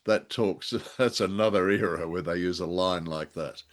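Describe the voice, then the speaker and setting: A man's voice: sits low, fairly even intonation, normal speed. A man co-hosting a podcast in casual review chat, with a wry, jokey way of talking. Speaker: podcast co-host.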